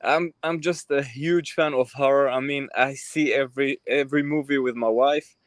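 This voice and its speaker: A man from Israel, pitching his crypto project in a hesitant chat full of ums and restarts. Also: fairly even intonation, normal speed, dry recording, clean studio sound. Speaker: crypto project team member. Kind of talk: casual livestream chat.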